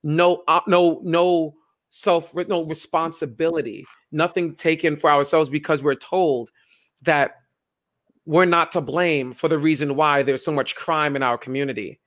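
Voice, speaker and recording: A young man co-hosting a casual political chat, talking in an animated way and acting out the people he quotes. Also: measured pace. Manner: animated, acts out quoted voices with pitch jumps. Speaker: podcast co-host.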